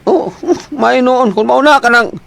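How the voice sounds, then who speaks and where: Guy doing a middle-aged dad in an amateur audio drama, high bright voice, jokey. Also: unhurried, gravelly, no echo, heavily acted. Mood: teasing. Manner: teasing, lively banter. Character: middle-aged father of adult twins, teasing.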